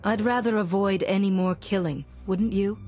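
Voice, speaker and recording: A woman playing a composed, persuasive and compassionate Jedi heroine in a video game, measured and earnest. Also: medium pitch, music in the background, close to an everyday voice. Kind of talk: video game cutscene acting.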